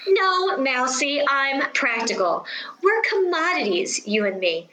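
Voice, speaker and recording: Snooty vaudeville diva for a radio play, theatrical and condescending, sharp voice, roomy echo. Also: female voice, high-pitched, very animated, slow pace, exaggerated voice. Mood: hard, defiant. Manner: theatrical, drawling, condescending endearments. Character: catty, worldly vaudeville-born stage actress, haughty and seductive.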